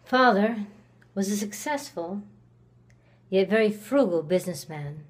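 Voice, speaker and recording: A woman acting a slow-spoken, resentful Victorian murder suspect. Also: mid-range voice, expressive, lightly acted. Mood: cold, somber. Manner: slow, deliberate delivery with long pauses. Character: cold, reclusive, resentful accused murderess of the 1890s. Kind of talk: dramatic theatrical monologue.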